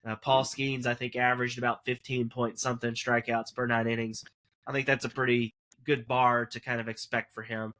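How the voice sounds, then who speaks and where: A man's voice: mid-range voice, level tone, normal speed, pushed and bright. A man co-hosting a casual college sports podcast, rambling through numbers and correcting himself as he goes. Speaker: podcast co-host.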